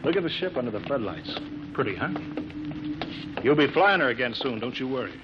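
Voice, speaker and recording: A man's voice: mid-range voice, sing-song, brisk, close to an everyday voice. A man playing an anxious air base project chief in 1950s radio drama, urgent and pleading. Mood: reassuring, dismissive. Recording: muffled, hissy old radio broadcast.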